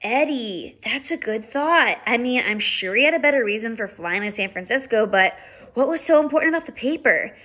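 A woman reading aloud and voicing a calm schoolboy who explains things in a reasonable tone. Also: on the high side, normal speed, husky. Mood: reasoning.

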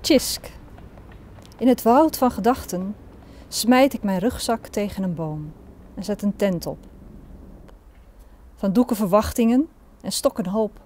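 Female poetry reader, medium pitch, relaxed timbre, deliberate and expressive delivery. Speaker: poet. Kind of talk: poetry recital.

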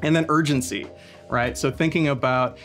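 A man's voice: medium pitch, steady tone, moderate pace, a bit bright, faint music behind. Man explaining with many ums and restarts. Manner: hesitant with frequent fillers and restarts; asks the listener leading questions. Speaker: marketing expert. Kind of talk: casual scripted company explainer chat. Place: bright studio with brick wall, standing.

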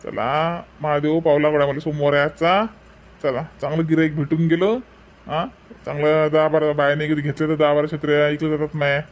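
A man's voice: on the high side, little pitch movement, fairly quick, muffled recording, close to an everyday voice. A man playing a chatty village umbrella seller in a comic cartoon, with folksy sales patter and a Varhadi Marathi accent. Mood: pleased, hopeful. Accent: Varhadi Marathi from Vidarbha.

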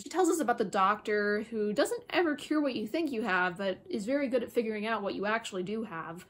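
A woman in her twenties chatting casually to camera about books, opinionated, emphatic and expressive, recorded indoors in a small room. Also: mid-range voice. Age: 20s. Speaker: book reviewer vlogger. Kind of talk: casual book review chat to camera.

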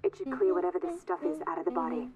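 Grave young woman, video game character, measured urgent delivery, muffled narrow telephone sound. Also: lowish voice, very animated, a loud crowd. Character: wary young woman, infected, giving urgent guidance by phone. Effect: telephone filter. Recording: muffled narrow phone line.

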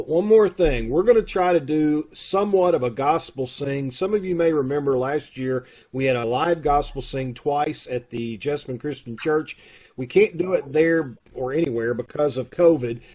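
A middle-aged man with a husky voice, making folksy, casual announcements to an online audience over a video call. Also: a little high, moderate pace, darkish tone. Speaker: troupe host making announcements. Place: room at home, on video call. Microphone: video call microphone.

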